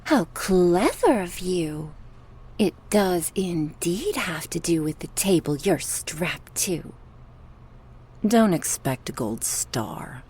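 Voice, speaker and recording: A woman's voice: lowish voice, darkish tone, breathy edge, exaggerated voice. A woman playing a cruel, sadistic goddess who taunts sarcastically in a horror ASMR roleplay. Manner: taunting, sarcastic, slow and drawn out with sighs. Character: sadistic goddess, mocking, cruel, playfully menacing captor.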